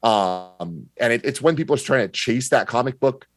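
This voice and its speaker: A man's voice: mid-range voice, expressive, normal speed, dry recording. Male podcast guest, mid-range voice, conversational and opinionated, thinking aloud informally in a dry space. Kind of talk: casual opinionated discussion.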